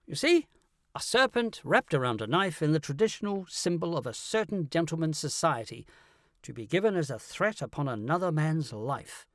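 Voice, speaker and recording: A man voicing a brilliant, excitable male detective in an audiobook, speaking in a commanding, theatrical and precise way. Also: on the high side, moderate pace, dry recording, clean studio sound. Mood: excited. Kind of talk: audiobook character acting.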